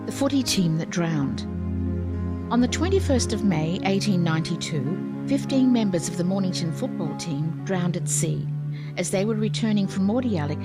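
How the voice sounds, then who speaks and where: Woman narrator, scripted history narration, measured, lowish voice, loud guitar music underneath. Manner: measured, formal.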